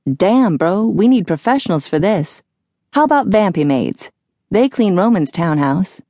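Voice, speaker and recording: A woman's voice: medium pitch, animated, a little slow, warm tone, dry recording, clean studio sound. A woman voicing a brash, jittery young male vampire with slangy, swaggering talk in an audiobook. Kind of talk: audiobook character voice.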